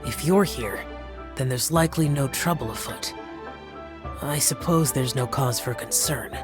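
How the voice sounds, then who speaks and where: A man's voice: mid-range voice, music in the background, lightly acted. Man playing an aloof formal guardian spirit. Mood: solemn. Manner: formal, measured, reserved. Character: aloof, stern young male guardian spirit.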